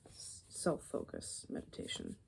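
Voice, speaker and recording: A woman doing soft, rambling ASMR, musing hesitantly as she thinks aloud, her voice fading toward whispers. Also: measured pace, a little hiss or hum. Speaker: ASMR video creator.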